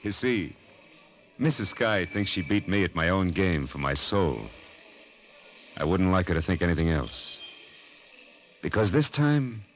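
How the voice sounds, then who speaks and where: Very deep-voiced gambler. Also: male voice, big pitch swings, measured pace, pauses often. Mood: quietly happy. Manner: terse, slangy, understated. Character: cool, honorable high-rolling gambler, a lovestruck tough man. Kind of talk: old-time radio drama acting.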